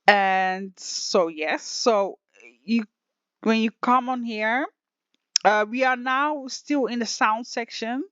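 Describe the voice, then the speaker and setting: A woman teaching music software in a casual online tutorial, rambling and emotional with many restarts, her voice slightly raspy. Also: medium pitch, no echo. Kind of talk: casual screen-share tutorial. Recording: dull lo-fi dry sound.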